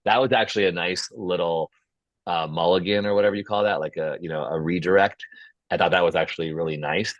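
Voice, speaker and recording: Deep-voiced man, casual and roomy. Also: fairly even intonation, quick pace. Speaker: host of script feedback session. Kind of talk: discussion and feedback, casual talk. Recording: dull, roomy call audio.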